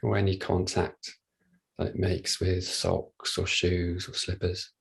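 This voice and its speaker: Low, gravelly man guiding meditation. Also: completely flat delivery. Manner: unhurried, gentle, with long pauses. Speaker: meditation teacher leading a body scan. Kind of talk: guided meditation, soft instruction.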